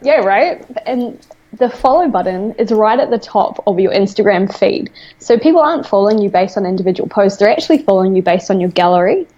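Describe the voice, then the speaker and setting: A woman's voice: mid-range voice, lively intonation, a little fast, mellow, a little hiss or hum. New Zealand woman on a lo-fi call. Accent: New Zealand with some Australian. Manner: chatty, laughs often. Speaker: guest, app founder. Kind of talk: casual interview chat. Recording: dull lo-fi remote call audio.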